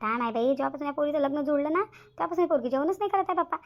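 A woman's voice: sits high, expressive, rapid-fire, muffled recording. A woman voicing a fussy, nagging middle-aged village mother in a cartoon, repeating herself in a rural Maharashtrian accent. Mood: fussing, chiding. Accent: rural Maharashtrian Marathi. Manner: nagging, repetitive. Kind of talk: cartoon comedy dialogue.

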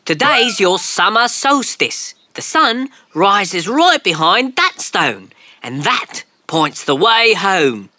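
A woman voicing a friendly, hurried green alien in a children's cartoon, quick and excitable. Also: mid-range voice, heavily acted. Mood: chatty. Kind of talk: cartoon voice acting.

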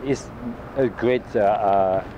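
French-accented older chef, noisy outdoors. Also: male voice, fairly low pitch, dull audio. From France. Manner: halting, warm reminiscing with many fillers. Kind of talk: documentary interview. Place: restaurant patio outdoors. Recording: noisy outdoor street ambience.

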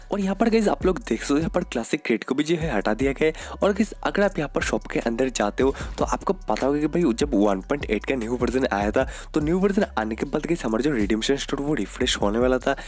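A man's voice: mid-range voice, a little mellow. A man with an Indian accent giving casual, explanatory gaming news as the host, addressing viewers directly with repeated filler phrases. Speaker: gaming video creator and host. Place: voice-over on game screen recording.

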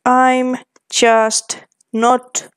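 A young woman reads her own typed chat messages aloud, one careful word at a time. Her voice sits a little high for a woman, and her delivery is very slow and deliberate. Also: level tone. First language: Finnish. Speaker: vlogger. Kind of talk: casual commentary reading chat aloud.

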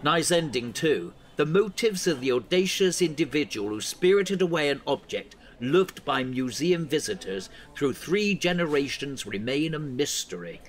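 A man's voice: on the high side, animated, normal speed, few pauses, a little hiss or hum, natural voice. Man playing avuncular veteran newspaper editor. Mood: encouraging, chatty. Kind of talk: audio drama acting.

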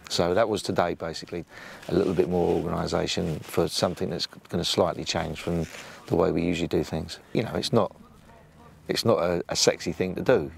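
Gravelly low-voiced English coach outdoors. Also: male voice. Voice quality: booming voice. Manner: plain-spoken, hesitant with many ums and restarts. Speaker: assistant football coach. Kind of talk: sit-down interview. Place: outdoors beside a football training pitch. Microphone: off-camera mic, no lapel.

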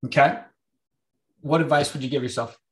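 A man's voice: a little high, fairly even intonation, normal speed. A middle-aged man hosting a podcast interview over video call, upbeat and encouraging. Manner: encouraging, upbeat, frequent affirmations. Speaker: podcast host. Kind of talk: interview host. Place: home office, small room.

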